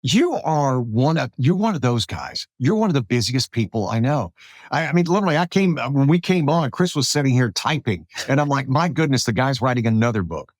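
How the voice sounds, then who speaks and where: A middle-aged man from South Alabama hosting a casual, conversational interview in an animated, anecdotal way. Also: mid-range voice, a little fast, no room sound. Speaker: podcast host, radio broadcaster.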